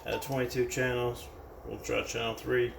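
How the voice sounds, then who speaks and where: Male American product reviewer, casual and unscripted, creaky voice, picked up from a distance. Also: mid-range voice, level tone, moderate pace. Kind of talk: casual hands-on product demonstration. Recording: roomy, distant mic with background noise.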